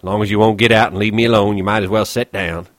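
A man voicing a bitter, lonely older ranch hand who talks gruffly in rough rural dialect. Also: fairly low pitch, steady tone, quick pace, a bit bright, dry recording, close to an everyday voice. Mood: grudging.

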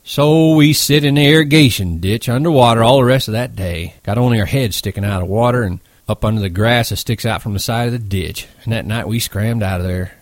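Man playing a gruff, exasperated ranch hand, dry close sound. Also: medium pitch, a little fast, few pauses, dry recording, lightly acted. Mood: animated recounting. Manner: blunt, folksy, emphatic.

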